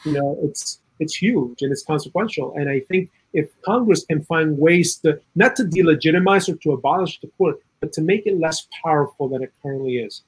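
Guy from south america, kinda high husky voice, chatting politics, earnest and opinionated, says you know a lot. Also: a little fast, few pauses, poor audio. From South America, Chile. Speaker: interview guest, political and legal commentator. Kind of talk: conversational political commentary.

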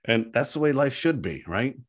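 A man with a deep voice talking in a casual interview, an animated and self-deprecating storyteller. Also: normal speed. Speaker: guest, app founder.

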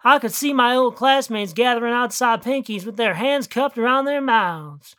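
A man's voice: very high voice, normal speed, a bit bright. A man voicing a shy, rambling young small-town cowboy, telling a story in a put-on Texan drawl with folksy country grammar. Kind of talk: first-person storytelling.

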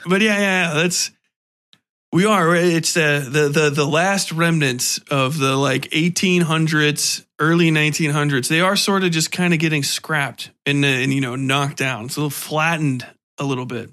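A man's voice: fairly high pitch, steady tone, moderate pace, pushed and bright. An American man hosting a podcast interview in casual chat, riffing comically and swearing freely.

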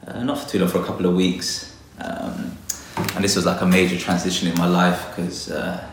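A man's voice: sits low, level tone, moderate pace, heavy reverb, slight background noise. A man from London, a guest botanist, talking reflectively in a casual interview, hesitant with many fillers. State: a little nervous.

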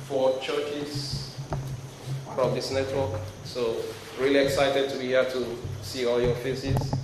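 Nigerian-accented man preaching in an echoey hall. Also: medium pitch. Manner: hesitant, frequent ums and restarts. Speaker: guest speaker. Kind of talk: sermon with scripture reading and prayer. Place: large hall before a youth crowd. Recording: echoey room with noise.

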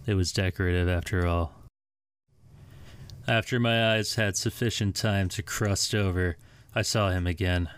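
A young man playing a haunted, sleepless man in confinement, narrating an audio drama in a deliberate, literary and grave manner over a noisy home recording. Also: sits low, fairly even intonation, clear, resonant tone, no echo. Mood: weary, haunted. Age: young adult. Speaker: student voice actor and narrator. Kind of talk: scripted first-person audio drama narration.

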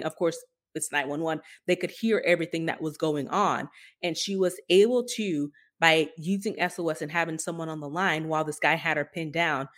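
A woman's voice: sits low, fairly bright tone. A woman co-hosting a podcast, talking through tech news in an earnest, explanatory and conversational way. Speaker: podcast co-host.

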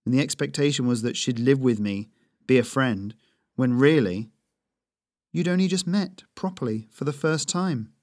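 A man playing a gruff, stubborn male hunter who talks in a grumbling way. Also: medium pitch, moderate pace, a little mellow, dry recording, studio quality, lightly acted.

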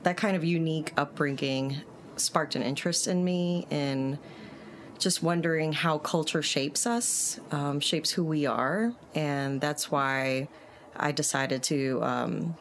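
A woman from Puerto Rico with a distinctly low voice, speaking as an interview guest. She explains things in a measured, conversational way, with clear background noise. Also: steady tone.

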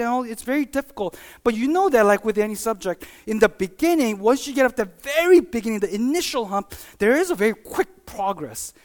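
A preacher giving a conversational sermon with personal stories, talking in a halting, informal way and often checking in with listeners. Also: medium pitch. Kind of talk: conversational sermon with personal anecdotes and acted-out examples.

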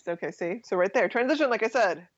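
A woman's voice: mid-range voice, lively intonation, no echo, muffled recording. A woman co-hosting a podcast, teasing and wry in casual talk about a film. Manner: wry, sarcastic, quick to tease. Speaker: podcast co-host.

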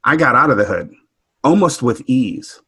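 A middle-aged man from Houston, speaking thoughtfully in a group discussion, halting and restarting as he works through his points. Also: mid-range voice, animated, sharp tone, breathy edge, no room sound, clean studio sound. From Houston, Texas. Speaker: discussion panelist. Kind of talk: reflective conversational discussion.

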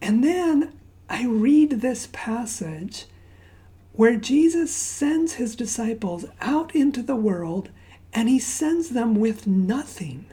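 Soft-spoken woman preaching, slow and mellow. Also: a little high, animated. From Zambia. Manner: soft, unhurried, often dropping to a hushed near-whisper; conversational storytelling with fillers and asides. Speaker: pastor. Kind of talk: sermon with opening prayer.

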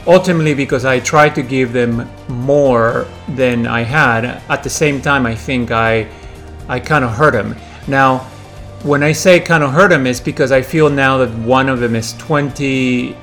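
A man in his forties from Peru, hosting a podcast in a reflective, halting way with many fillers and restarts. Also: medium pitch, a bit bright. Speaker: podcast host. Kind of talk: casual solo podcast monologue.

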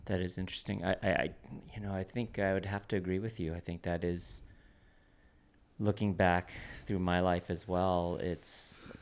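A man interviewing a peer about church life, halting and restarting his phrases and checking that he is understood. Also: deep voice, flat delivery. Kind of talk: conversational interview.

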